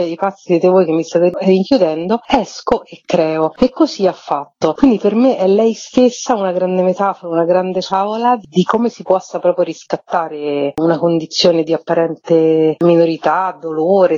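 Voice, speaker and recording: A woman's voice: lowish voice, warm tone, no room sound, lo-fi sound. A woman giving an effusive, articulate and expansive explanation in a radio interview as a playwright. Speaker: playwright interviewed about her show.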